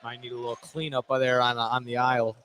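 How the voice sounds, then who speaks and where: A man's voice: medium pitch, little pitch movement, a little fast. Male basketball color commentator over heavy noise. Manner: colloquial, short reactive remarks. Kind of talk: casual live basketball analysis. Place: indoor basketball gym, courtside broadcast. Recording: very loud gym noise. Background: very loud ambient game noise.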